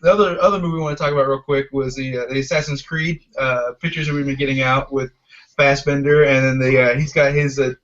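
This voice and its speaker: A man's voice: mid-range voice, steady tone, fast talker, fairly bright tone. A man hosting a podcast, talking casually about films and steering the discussion. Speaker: podcast host. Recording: dull lo-fi audio.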